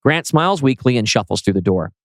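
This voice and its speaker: A man's voice: medium pitch, expressive, fairly quick, no room sound, studio quality. A man reading scripted screenplay narration as writer and narrator.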